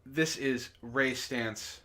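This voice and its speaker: A man hosting a comedy book club, reading aloud and bantering casually in an animated, mock-dramatic way, prone to laughing. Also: mid-range voice, very slow.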